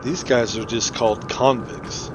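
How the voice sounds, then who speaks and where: A man's voice: mid-range voice, fairly even intonation, measured pace, very noisy. A man giving casual, conversational commentary as he hosts a video game playthrough. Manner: informal, conversational. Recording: game music behind voice. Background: game music.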